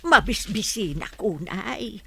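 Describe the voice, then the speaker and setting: Lady doing a confused old granny, radio drama, slow and halting, bright voice. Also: mid-range voice, lively intonation, vocal fry, a little hiss or hum, heavily acted. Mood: bewildered. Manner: halting, faltering, repeats herself. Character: forgetful hungry old grandmother, lost and confused.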